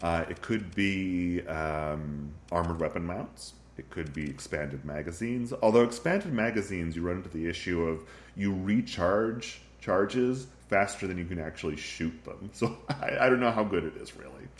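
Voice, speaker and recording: A man's voice: low-pitched, expressive, normal speed, echoey room. A man narrating a casual gameplay walkthrough, thinking aloud with frequent ums, sniffly from a recent flu. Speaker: game tutorial narrator.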